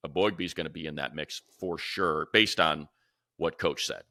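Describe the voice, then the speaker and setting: A man co-hosting a sports talk show, giving casual analysis and thinking out loud as he runs through lists of names. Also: rumbling low, moderate pace, dry recording, clean studio sound.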